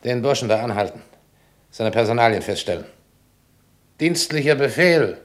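A man's voice: mid-range voice, expressive, normal speed, close to an everyday voice. A man acting a curt, commanding army general. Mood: curt, imperious. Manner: curt, authoritative. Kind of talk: German radio drama acting.

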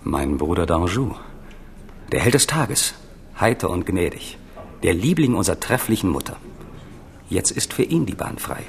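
A man's voice: low voice, big pitch swings, normal speed, creaky, pauses often, a little hiss or hum, full character voice. A man acting a scheming, resentful younger prince in a radio play, confiding and sardonic. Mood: bitter, scornful.

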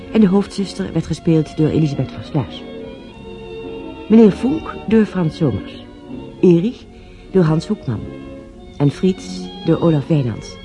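A man reading the end credits of an old radio play in a measured, formal tone. His voice is very dark and mellow, with a lively melodic rise and fall. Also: on the high side. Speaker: radio drama announcer.

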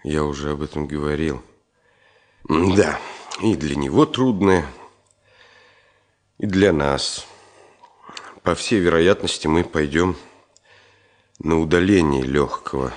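A grave surgeon in a Soviet radio play, with a low voice. He speaks in a measured, soothing way, like a protective and restrained friend of the family. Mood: grave, firm. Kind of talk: Soviet radio drama acting.

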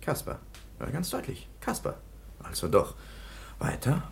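An actor playing a thoughtful, observant police commissioner of the nineteenth century in a radio drama, measured and musing. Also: male voice, mid-range voice, wildly swooping pitch, creaky, exaggerated voice. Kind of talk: German radio drama acting.

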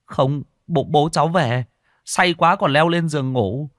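A man's voice: on the high side, measured pace, a bit bright, no room sound, clean studio sound, voice pushed up. A man doing a breathless, panicked young villager. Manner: breathless, urgent pleading.

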